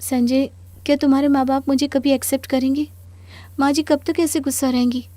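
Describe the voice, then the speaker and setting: A woman's voice: high voice, flat delivery, brisk, warm tone, no echo, pitched above the performer's own voice, lightly acted. A woman voicing a polite young modern bride in a Hindi cartoon story, deferential and eager to please. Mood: worried.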